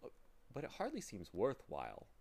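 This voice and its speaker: A man's voice: lowish voice, wildly swooping pitch, normal speed, vocal fry, darkish tone, husky, full character voice. A man voicing a polite, earnest young boy from a storybook, speaking hesitantly and questioningly. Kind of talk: storybook character voice.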